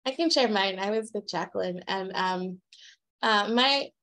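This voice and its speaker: A woman from Uganda shares a memory over a video call in a warm, reflective way. Her voice is mid-pitched and easy. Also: unhurried. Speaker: workshop participant. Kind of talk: casual sharing on a video call. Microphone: laptop webcam on a video call.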